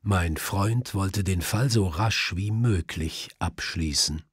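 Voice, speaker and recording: Male audiobook narrator, literary crime story, lowish pitch, slow pace.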